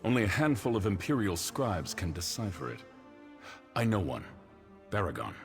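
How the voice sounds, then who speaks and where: A man voicing a grave, authoritative imperial councillor in a video game, measured and official. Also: sits low, very animated, faint music behind. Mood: troubled.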